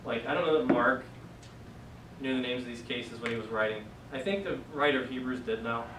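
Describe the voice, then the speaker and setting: A man's voice: mid-range voice, brisk. A young man teaching a Greek class in a casual, conversational way, recorded by a far camera in a church meeting room. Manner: casual, conversational, many fillers. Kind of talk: classroom lecture. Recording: echoey room from far off, noisy.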